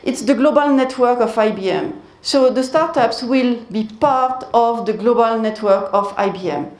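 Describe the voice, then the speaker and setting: A woman giving a business presentation at a conference and taking questions, in a measured way that repeats key phrases. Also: a little high, a little mellow. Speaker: conference speaker. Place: conference hall. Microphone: handheld mic. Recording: echoey conference hall.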